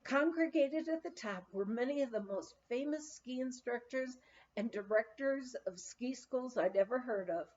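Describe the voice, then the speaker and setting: A woman narrating a humorous memoir aloud, with expressive storytelling and deliberate phrasing. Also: on the high side, lo-fi sound. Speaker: audiobook reader.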